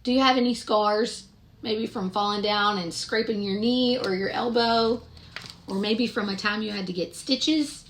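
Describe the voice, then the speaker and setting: A woman reading a picture book aloud to children in an expressive, deliberate way, pausing to ask her young listeners questions. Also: medium pitch.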